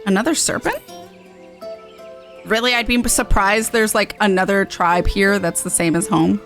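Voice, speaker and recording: A woman streaming a video game with playful, expressive casual commentary. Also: mid-range voice, normal speed, soft background music.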